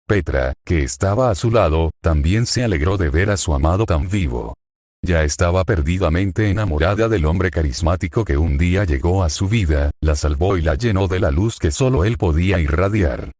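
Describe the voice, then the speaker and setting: A man with a low, deep voice and a Spanish accent from Spain reads a fan story aloud. His delivery is steady and even, and the recording is dry and close, with no room sound around him. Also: no room sound. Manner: steady, even-paced reading of narration and quotes. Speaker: fanfiction reader.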